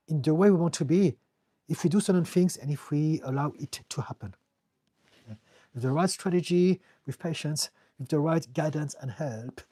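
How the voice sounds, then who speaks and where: A man's voice: a little high, dark tone, slightly rough, no echo. A man with a French accent, a therapist answering viewers' questions on a live stream in an earnest, direct way, sometimes repeating words as he searches for them. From France. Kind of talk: live-stream Q&A, casual advice.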